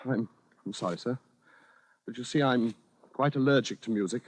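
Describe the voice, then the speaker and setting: A man's voice: mid-range voice, moderate pace, close to an everyday voice. An actor in old-time radio style playing a deluded, meek yet grandiose man with brittle nerves, speaking formally and precisely. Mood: nervously deferential. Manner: formal, polite, stiffly precise.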